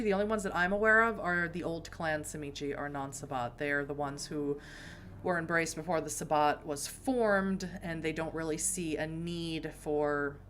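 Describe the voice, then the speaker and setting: Low-voiced chatty American woman streamer. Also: a bit bright, slight background noise. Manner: chatty, rambling asides. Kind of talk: casual live-stream chat.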